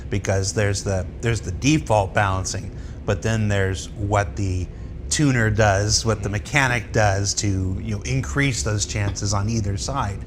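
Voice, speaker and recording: A man's voice: steady tone, a little slow. A man hosting an interview in a casual, chatty style. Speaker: interview host. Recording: clear low rumble behind voice.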